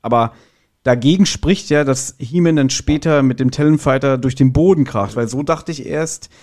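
A man's voice: medium pitch, moderate pace, darkish tone. A man in a casual podcast discussion, explaining his observations and thinking aloud. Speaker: podcast reviewer.

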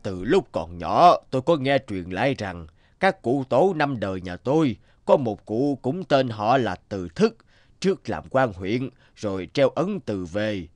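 An actor playing an old village man in an animated folktale, solemn and deliberate as he recalls family lore. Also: medium pitch, no echo. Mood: solemn, reflective.

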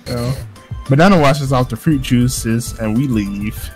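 A man reading game narration aloud in a casual group playthrough, jokey, excitable and prone to swearing. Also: mid-range voice, a little slow. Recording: music under the voice. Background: game music.

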